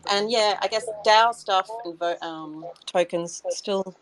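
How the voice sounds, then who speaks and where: A woman's voice: medium pitch, slow, crowd noise. A woman speaking for a community project in a casual interview, in an encouraging, inviting manner. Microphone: online voice call. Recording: faintly noisy online audio chat.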